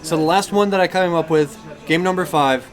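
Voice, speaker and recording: A man's voice: a little high, fairly quick, soft background music. A man co-hosting a gaming podcast in a casual, conversational style. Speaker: podcast co-host.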